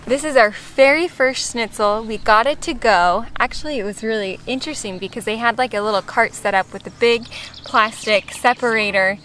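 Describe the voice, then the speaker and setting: A woman's voice: on the high side, normal speed, a bit bright. A young American woman chatting casually as a travel vlogger. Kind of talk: casual vlog chat. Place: outdoors in a town and gardens. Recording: outdoor ambience with clear background noise.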